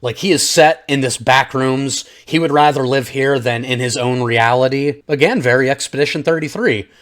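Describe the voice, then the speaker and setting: A man's voice: medium pitch, normal speed, a bit bright. A man giving an off-the-cuff, opinionated video review, chatting informally and directly to his viewers. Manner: conversational, opinionated, informal slang, addresses audience as guys. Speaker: film reviewer, channel host. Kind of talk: casual unscripted video review, talking to viewers.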